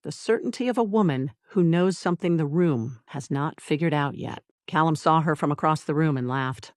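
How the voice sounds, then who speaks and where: A narrator reading a scripted dramatic story in deliberate short phrases with dramatic pauses. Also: medium pitch, no echo, studio quality. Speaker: audiobook narrator.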